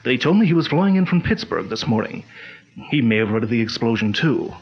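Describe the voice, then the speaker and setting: An actor playing a firm, authoritative crime-fighting hero in a 1940s-style radio drama. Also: male voice, medium pitch, sing-song, a little fast, husky, lo-fi sound. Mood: calm. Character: stern, commanding male crime-fighting hero.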